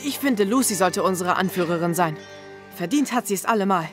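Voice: mid-range voice, lively intonation, a little fast, faint music behind, close to an everyday voice. A young performer playing a responsible, fair-minded boy, the eldest brother, in an audio drama. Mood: decisive.

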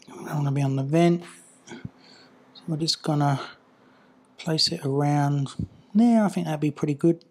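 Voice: medium pitch, a little mellow. Casual hands-on tutorial voice, muttering while working. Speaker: product installer and reviewer.

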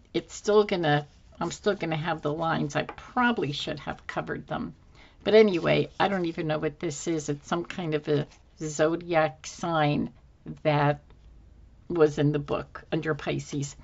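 A woman hosting a crafting video at her desk, musing aloud to herself as she works, her voice a little hoarse. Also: low voice, lo-fi sound. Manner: unhurried, musing to herself with fillers. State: slightly hoarse, losing her voice. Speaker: planner decorating channel host. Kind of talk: casual thinking-aloud crafting narration. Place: indoors at a desk. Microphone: overhead camera, hands only.

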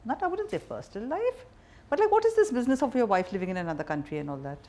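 A woman's voice: a little high, sing-song, very fast, warm tone. A middle-aged woman with an Indian accent, hosting a conversational interview with direct, probing questions. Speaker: interview host. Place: seated indoors in a wood-panelled bar set.

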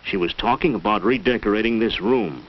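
A man's voice: on the low side, brisk, lightly acted. An actor playing a flustered, plain-spoken middle-aged American family man in a 1940s educational film, with a muffled period soundtrack. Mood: musing.

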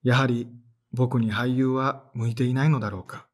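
A man's voice: mid-range voice, fairly even intonation, moderate pace, natural voice. An actor in his thirties playing a tired, sighing man who narrates his own story in an audio drama. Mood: weary. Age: 30s. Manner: sighing, tired, self-deprecating. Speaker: narrator and lead character.

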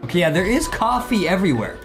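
A young American man hosting casual, excited gameplay commentary, slangy and often repeating himself for young viewers. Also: sits high, quick pace. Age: young adult. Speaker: gaming show host. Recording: music behind the voice.

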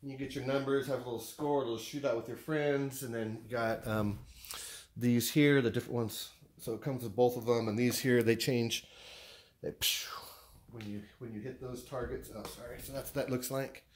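Man, American accent, mid-pitched voice, casual vlogger, rambling, halting, thinking out loud, at home. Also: fairly even intonation. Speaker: vlogger showing purchases. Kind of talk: casual unboxing chat. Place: living room at home.

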